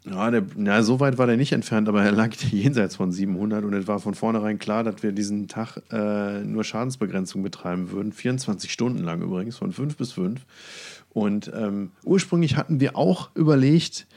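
A man's voice: fairly low pitch, fairly quick, darkish tone. A man co-hosting a podcast, chatting in a colloquial way with wry understatement. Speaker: podcast co-host. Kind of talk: casual podcast chat.

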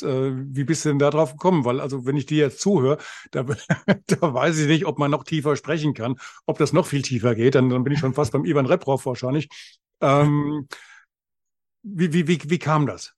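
A man's voice: on the high side, darkish tone, dry recording, studio quality. A man interviewing a guest, asking curious questions with some hesitation.

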